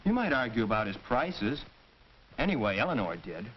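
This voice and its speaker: Earnest teen schoolboy male actor, 1940s classroom film, emphatic and animated, dull old soundtrack. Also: medium pitch, normal speed, a little hiss or hum, lightly acted. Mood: earnest, explanatory. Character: earnest teenage high-school boy explaining his point. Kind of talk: 1940s educational film acting, scripted discussion.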